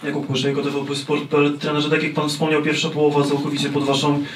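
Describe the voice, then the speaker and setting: A sports reporter asks his question in a level, flat tone on a fairly high pitch. He sits away from the mic in an echoey room with a little noise behind him. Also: fast talker, recorded from a distance. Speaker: sports journalist. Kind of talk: press conference question.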